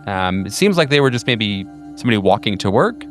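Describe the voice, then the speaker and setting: Male game master, low voice, rambling delivery, faint music bed. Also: very animated, brisk. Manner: rambling, lots of fillers and restarts. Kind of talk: narrating a tabletop game.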